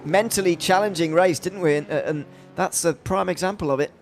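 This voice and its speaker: A man hosting live sim racing coverage as commentator and interviewer, with somewhat halting, stop-start phrasing. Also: high-pitched, a little hiss or hum. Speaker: race commentator and host.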